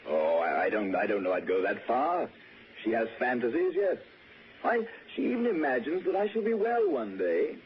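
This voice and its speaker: A man's voice: mid-range voice, big pitch swings, moderate pace, lightly acted. A man playing a courteous, wistful invalid English lord with a British accent, in 1960s radio drama style. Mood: wistful, indulgent. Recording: muffled, faintly noisy old radio broadcast.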